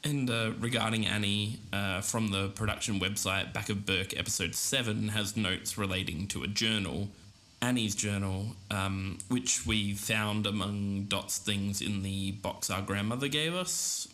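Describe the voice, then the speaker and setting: Guy acting aussie podcast co-host, deeper voice, gentle and a bit hesitant, documentary style. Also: steady tone, measured pace. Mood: casual, explanatory. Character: gentle, casual male podcast investigator. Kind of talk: documentary podcast narration and interviewing.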